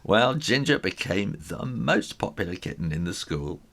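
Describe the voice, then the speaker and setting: A man reading a children's story in an animated, theatrical style, with dramatic pauses. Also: low-pitched, gravelly.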